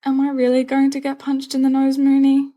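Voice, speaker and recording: A woman's voice: high-pitched, completely flat delivery, brisk, warm tone, pitched above the performer's own voice, lightly acted. A woman voicing a petulant teenage schoolboy who bickers and mocks in a story. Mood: plaintive.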